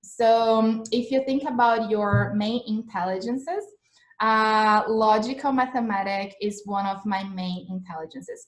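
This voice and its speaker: A young woman with a mid-range voice teaches English over a laptop webcam in a chatty, animated way. Her speech carries a noticeable echo from the small room she sits in. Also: medium pitch. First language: Portuguese. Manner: chatty, animated, gestures a lot while explaining. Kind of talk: live-streamed English lesson. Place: small indoor room, webcam in front of a plain wall. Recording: echoey room sound.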